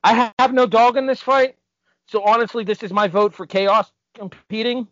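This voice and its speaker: A man with a slightly high voice chats casually as a podcast co-host. The recording is very dry and a little dull. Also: fairly even intonation, moderate pace, fairly bright tone, no room sound. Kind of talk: casual chat.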